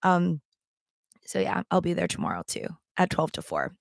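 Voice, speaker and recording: A woman with a worn, raspy voice chats loosely to her viewers, full of ums and likes and a gravelly crackle. Her words are a little thick from the throat candy in her mouth. The sound is dry and close, with no room behind her. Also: lowish voice, wildly swooping pitch, normal speed, no echo. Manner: rambling, with many ums and likes; talking around a throat candy. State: strained, worn voice. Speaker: livestream seller and vlogger. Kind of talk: casual chat to camera.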